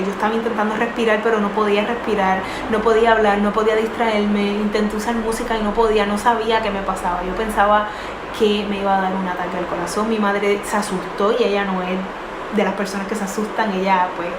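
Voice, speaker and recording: A woman's voice: mid-range voice, flat delivery, fast talker. A young woman in her twenties earnestly telling a personal story, with many fillers and restarts. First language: not English. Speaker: vlogger. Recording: echoey room with noise.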